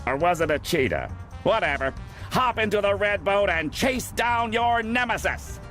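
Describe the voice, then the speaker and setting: A man voicing a pompous, bossy, impatient film director in an animated video game, commanding and condescending. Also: sits high, normal speed, exaggerated voice. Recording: game music behind.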